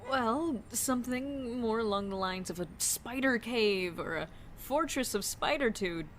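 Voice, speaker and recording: A woman's voice: a little high, animated, a little slow, a little hiss or hum. A woman voicing a flirtatious, playful costumed antiheroine in a comic book dub, with a coy, purring delivery. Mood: baffled, let down.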